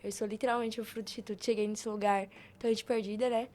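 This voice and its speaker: A young woman with a high voice and a Brazilian Portuguese accent. She tells stories in an informal, animated podcast style, slipping into quoted dialogue as she goes. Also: quick pace. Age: young adult. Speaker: podcast guest, youth institute member. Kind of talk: casual storytelling on a podcast.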